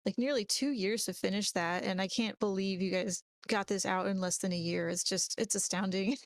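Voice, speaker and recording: A woman talks casually as a podcast guest. Her pitch is in the middle range. The recording is close and dry, free of room sound. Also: fairly even intonation, moderate pace, dry recording. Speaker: guest, translator. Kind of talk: casual chat.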